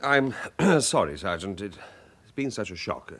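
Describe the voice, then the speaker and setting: An actor playing a shaken, apologetic bank manager in a radio drama, hesitant and polite. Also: male voice, lowish voice, sing-song, measured pace, background noise. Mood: shaken, flustered. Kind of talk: British radio drama acting.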